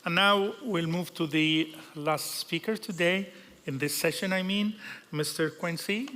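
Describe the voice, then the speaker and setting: A man with a high, bright voice moderates a conference panel, speaking slowly and deliberately. He sounds a little off-mic in a large reverberant hall, with a faint murmur of people and low noise behind him. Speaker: session moderator. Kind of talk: formal introduction of a speaker.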